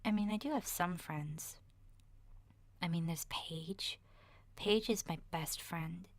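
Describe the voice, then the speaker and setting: A woman acts out an awkward young boy of ten, murmuring an ASMR survivor's diary. The voice is low-pitched for a female one, soft and breathy, recorded dry and close. The delivery is slow, shy and halting, rambling along with hesitations. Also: no echo. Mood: hesitant, earnest. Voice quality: soft, breathy, often dropping to a whisper. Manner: hesitant, shy, rambling with sighs and self-corrections.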